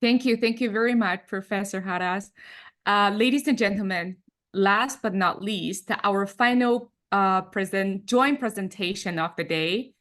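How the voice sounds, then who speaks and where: A woman's voice: mid-range voice, a little slow, fairly bright tone. A woman moderating an online panel, introducing speakers in a formal way. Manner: formal, announcing.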